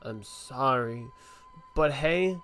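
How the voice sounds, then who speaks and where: A man's voice: medium pitch, expressive, drawn-out words, fairly bright tone. A young man giving casual, rambling commentary as he plays through a video game. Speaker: gaming video host. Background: game music.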